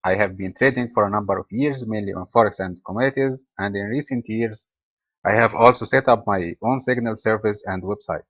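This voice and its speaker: Man from Egypt, webinar host, steady lecture, dull dry lo-fi recording. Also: fairly low pitch, fairly quick, no room sound.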